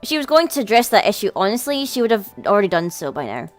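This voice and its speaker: A woman's voice: medium pitch, big pitch swings, fast. A woman hosting a let's play, narrating a visual novel expressively with dramatic storytelling and casual asides. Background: game music.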